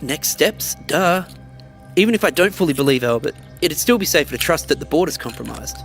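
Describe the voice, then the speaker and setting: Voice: mid-range voice, expressive, fairly quick, fairly bright tone, faint music behind. An actor playing a guarded, sarcastic young survivor in a dystopian America, talking casually in a naturalistic audio drama. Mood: dry, brisk.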